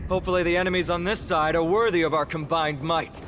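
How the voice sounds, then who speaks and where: A man's voice: fairly high pitch, fairly even intonation, fast, noisy background, lightly acted. A male actor playing a playful, boastful warrior in a video game, with a theatrical, teasing manner. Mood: excited.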